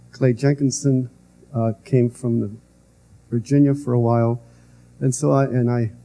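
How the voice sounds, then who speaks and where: An older man gives a lecture into a podium microphone, his voice soft and very dark. He speaks haltingly with many fillers, over faint background noise. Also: mid-range voice, rough recording. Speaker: guest lecturer. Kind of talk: public lecture. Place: lecture hall.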